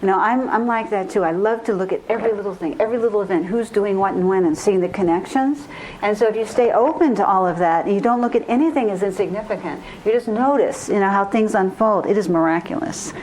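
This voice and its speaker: Earnest woman, mid-pitched, faint noise. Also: fast talker, darkish tone, low-quality recording. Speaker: co-speaker on intuition. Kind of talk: conversational teaching talk. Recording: live recording with faint noise.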